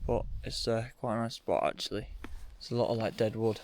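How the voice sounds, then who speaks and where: A man's voice: on the low side, fairly quick. A young man with a cold and a nasal voice, chatting casually to camera in an offhand way. He records in his car and then outdoors in frozen woods. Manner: relaxed, offhand, says "bloody" a lot. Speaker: vlogger. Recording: noisy background.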